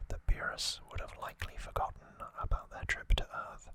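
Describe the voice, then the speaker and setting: An ASMR host whispering a recap of trivia in a breathy, unvoiced voice, with halting, informal asides. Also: pushed and bright.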